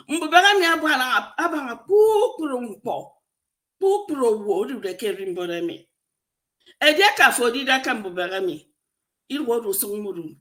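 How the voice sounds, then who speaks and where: A woman's voice: fairly high pitch, pushed and bright. A Nigerian woman, a native Efik speaker, co-hosting a casual online discussion with a Nigerian accent. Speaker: co-host of a discussion show. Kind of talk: casual online panel chat.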